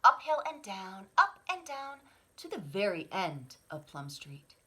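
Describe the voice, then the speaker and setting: A woman reading a picture book aloud to children, dramatically, moving between hushed whispers and sudden swells. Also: mid-range voice, sing-song, measured pace. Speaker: children's library story reader.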